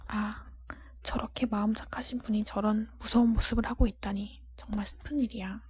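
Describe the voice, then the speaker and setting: A woman voicing a kind-hearted, honest young storybook heroine in a soft breathy whisper, earnest and polite. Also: mid-range voice, fairly even intonation, brisk, lightly acted. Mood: pitying.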